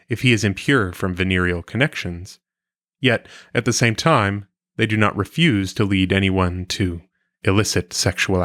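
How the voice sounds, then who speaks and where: A man reads a scripted philosophical text in a fairly low voice. His delivery is formal and measured, with clearly shaped phrases. The sound is very dry, with hardly any room around it. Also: a bit bright, no room sound. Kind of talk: scripted audiobook-style reading of an old philosophical text.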